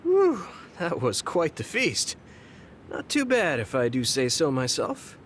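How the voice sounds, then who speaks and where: A man's voice: fairly high pitch, wildly swooping pitch, takes it slowly, slight background noise, full character voice. An actor voicing an earnest, kind, easygoing young man soldier in a video game, speaking in a friendly, sincere way. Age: young adult. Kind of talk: video game voice acting, story cutscene dialogue. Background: background music.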